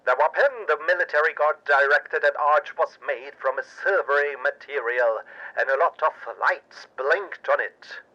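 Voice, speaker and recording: Slow, bright-voiced man narrating pulp drama. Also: fairly high pitch, highs cut off. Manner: theatrical, suspenseful, deliberate. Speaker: narrator and storyteller.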